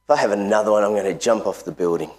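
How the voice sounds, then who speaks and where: A man quoting a fed-up male construction worker in a joke, quick and exasperated. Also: on the low side, darkish tone, close to an everyday voice.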